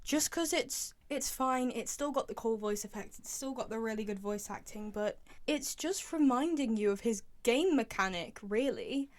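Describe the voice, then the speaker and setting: Young woman, slightly high voice, dry close sound, chatty, emphatic, casual commentary. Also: moderate pace, no echo. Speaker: video host, game fan.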